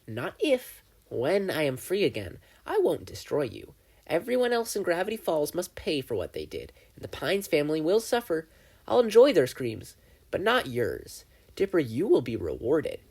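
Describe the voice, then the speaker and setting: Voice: medium pitch, darkish tone, breathy edge, full character voice. A reader voicing a gleeful, menacing male triangle dream demon who taunts and mocks, in a fan fiction audiobook. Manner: taunting, theatrical, mocking.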